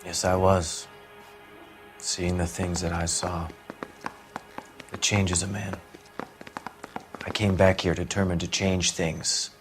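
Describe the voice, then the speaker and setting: An actor playing a self-righteous, evasive would-be writer under police questioning, articulate and indignant, in a game. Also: male voice, deep voice, little pitch movement, takes it slowly, long pauses, music in the background. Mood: earnest, self-justifying. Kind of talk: dramatic video game acting.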